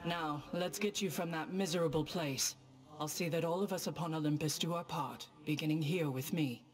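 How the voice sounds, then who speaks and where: An actor playing a noble, encouraging Olympian god in a video game, with stately, reassuring, heroic delivery. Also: male voice, a little high, steady tone, moderate pace, lightly acted. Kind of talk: video game character dialogue.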